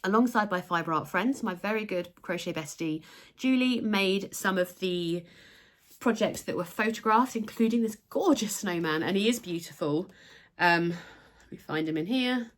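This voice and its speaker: A woman's voice: mid-range voice, normal speed. A woman hosting her own crochet channel, talking straight to camera at home in a casual, enthusiastic way. Her voice is slightly nasal from a cold she is still getting over. She chats easily and shows things to the viewer while she talks. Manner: chatty, enthusiastic, showing items to camera. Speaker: crochet designer and channel host.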